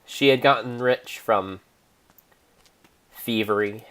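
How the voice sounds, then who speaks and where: A man narrating an improvised story in a theatrical, measured way, pausing between phrases. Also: mid-range voice, fairly bright tone. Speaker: streamer, narrator.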